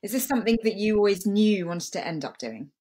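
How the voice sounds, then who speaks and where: Lively-voiced woman podcast host. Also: mid-range voice, fast talker, breathy edge. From half Sri Lankan, half Malaysian. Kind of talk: scripted intro then casual interview.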